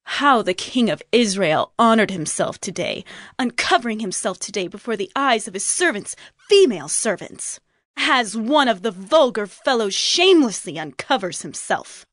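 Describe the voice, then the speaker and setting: Contemptuous king's wife, scripture drama, lively intonation, dry recording. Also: mid-range voice, moderate pace, dry recording. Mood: scornful. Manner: sarcastic, contemptuous. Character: Michal, scornful, sarcastic royal wife.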